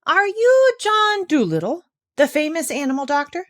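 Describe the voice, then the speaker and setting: A woman's voice: high voice, very animated, moderate pace, no echo, studio quality, pitched above the performer's own voice. A woman doing a polite, helpful shark. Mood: courteous. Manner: courteous.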